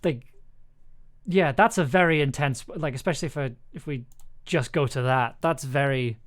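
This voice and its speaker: A streamer talking casually and haltingly while making music, thinking aloud with playful exclamations. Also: medium pitch. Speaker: streamer, audio drama producer and composer. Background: music being worked on, keyboard clicks and typing.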